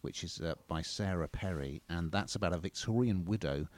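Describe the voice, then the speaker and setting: A man's voice: sits low, brisk, a little mellow, dry recording. A man co-hosting a TV and film review show, chatting with dry, wry humour. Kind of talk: casual review chat.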